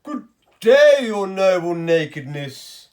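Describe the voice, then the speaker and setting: A woman's voice: medium pitch, sing-song, takes it slowly, a little mellow. A woman voicing a sly, fawning male tailor in a children's storybook, grandiose and salesmanlike. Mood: grand greeting.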